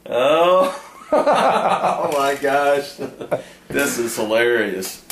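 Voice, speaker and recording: A man's voice: mid-range voice, lively intonation, drawn-out words, a bit bright, a little hiss or hum. A man telling fishing stories in a casual, rambling, folksy way, often trailing into "anyway" and "uh". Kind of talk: casual storytelling. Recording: echoey room with faint ambience.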